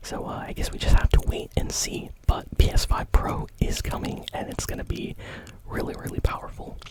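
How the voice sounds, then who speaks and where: An ASMR host whispering gaming news in a rambling, informal way. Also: normal speed.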